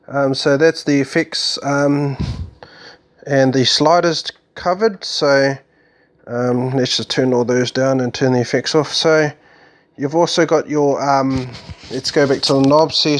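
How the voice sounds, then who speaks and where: A man with a mid-pitched voice talks through equipment in an informal, unscripted review. His explanations come haltingly and are loosely worded, often trailing off before he picks up the next point. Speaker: gear reviewer demonstrating a mixer amp. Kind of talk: informal unscripted product walkthrough.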